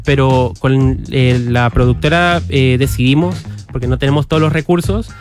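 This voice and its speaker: A young man, a filmmaker answering interview questions in a relaxed, hesitant way, with many pauses and restarts. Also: mid-range voice, over music. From Costa Rica. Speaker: guest filmmaker.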